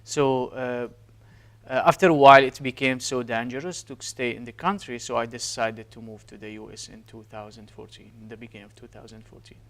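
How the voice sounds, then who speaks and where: A man's voice: mid-range voice, fairly even intonation, fairly quick, fairly bright tone. A man in his thirties from Syria with a Syrian Arabic accent, answering interview questions earnestly with frequent hesitations. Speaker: guest, physician.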